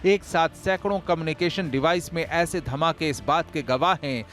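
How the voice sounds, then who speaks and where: A man's voice: a little high, moderate pace, fairly bright tone, faint music behind. A man reading a scripted news report voice-over in an emphatic, dramatic way.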